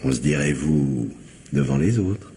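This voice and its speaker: An actor playing a smug, lecherous, menacing brother-in-law in a French radio mystery, insinuating, mocking and domineering. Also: male voice, extremely low pitch, expressive, unhurried, warm tone, long reverb tail, a little hiss or hum. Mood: insinuating, seductive.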